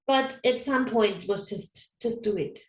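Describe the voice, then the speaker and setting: A woman whose first language is German, speaking English as an online counsellor in a casual interview, soft-spoken and a little hesitant with frequent small laughs, heard over a video call. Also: fairly high pitch, unhurried. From Austria. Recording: roomy echo.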